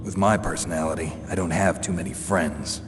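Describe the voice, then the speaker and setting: An actor as a very deep, gruff soldier. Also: male voice, fairly quick, lightly acted. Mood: sceptical. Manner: terse, deadpan, sardonic. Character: gruff, cynical, dry-witted veteran male soldier. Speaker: special forces soldier. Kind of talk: video game voice acting. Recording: very reverberant hall, loud background noise.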